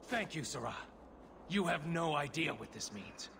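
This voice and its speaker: Bitter elf dad mourning and wanting revenge, game voice, highish and really animated. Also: male voice, moderate pace, creaky, exaggerated voice. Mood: bitter grief. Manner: impassioned, bitter. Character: bitter, grieving elf father hungry for revenge. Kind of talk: video game dialogue. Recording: noisy ambient background.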